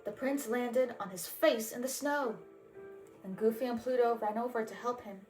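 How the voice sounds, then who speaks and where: A woman's voice: a little high, moderate pace, a little mellow, soft background music, rough recording. A woman narrating a children's storybook as a read-aloud. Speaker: storybook reader.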